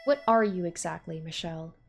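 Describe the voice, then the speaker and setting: A cruel young woman captor with a low, soft voice that sounds sweet on the surface and mocking underneath, as a woman reader puts her on. She taunts slowly and sadistically, toying with her captive. Storybook reading with faint music behind. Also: expressive, creaky.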